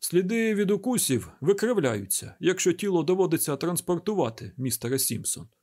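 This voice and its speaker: Man acting exacting, husky medical examiner. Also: sits high, sing-song, fairly quick, darkish tone, voice pushed up. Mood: controlled, explanatory. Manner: formal, precise, lecturing. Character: exacting, testy male chief medical examiner.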